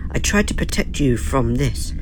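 A man voicing a solemn male ally who sees the future, speaking in an earnest, measured way. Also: mid-range voice, animated, a little hiss or hum, close to an everyday voice. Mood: regretful.

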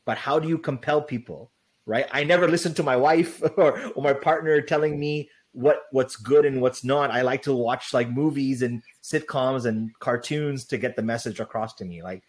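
A young man guest in a casual interview, talking loosely with lots of "like" and "right?", with a loud voice. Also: medium pitch, normal speed, husky. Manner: loose, rambling, heavy on "like" and "right?".

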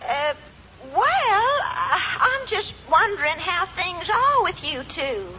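A woman's voice: extremely high pitch, sing-song, slow, pushed and bright, exaggerated voice. An actress playing a coquettish, easily indignant lady friend in a 1950s radio comedy. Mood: dithering. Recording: dull, faintly noisy 1950s radio.

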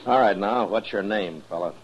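An actor playing a stern, hard-bitten American marshal in a 1950s radio western, with a terse, commanding way of talking. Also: male voice, on the low side, moderate pace, natural voice. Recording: muffled 1950s radio recording, faint noise.

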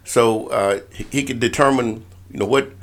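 A man's voice: mid-range voice, normal speed. A man leading a conference call, giving an informal briefing to officials and often repeating words and circling back to stock phrases. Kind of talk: informal briefing to volleyball referees.

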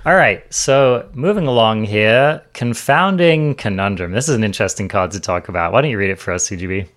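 A man's voice: lowish voice, expressive, a little fast, fairly bright tone. A man hosting a card game discussion, giving casual, conversational commentary and explaining his takes.

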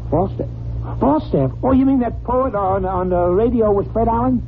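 Dim, excitable cabbie, tinny radio. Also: male voice, sits high, normal speed. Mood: amused, naive. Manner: slangy, naive, excitable. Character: dim, good-natured, streetwise cabbie, a man. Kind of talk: 1940s radio acting. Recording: tinny, noisy old radio recording.

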